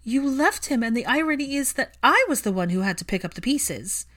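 A woman's voice: sits high, lively intonation, fairly quick, a bit bright, voice pushed up. A woman narrator voicing a fiercely loving, hurt young woman in an audiobook, speaking with emphatic feeling. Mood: furious. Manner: emphatic, impassioned.

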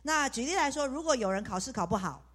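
A woman interpreting a sermon live, speaking in a quick, chatty way. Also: sits high, expressive. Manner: quick, chatty, adds softening particles.

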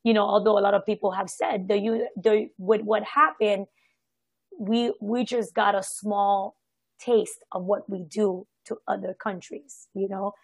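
A woman from Haiti giving a casual, reflective personal account, halting and often circling back to correct herself. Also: medium pitch, steady tone, slightly rough, no echo. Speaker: participant sharing personal experience in a group discussion.

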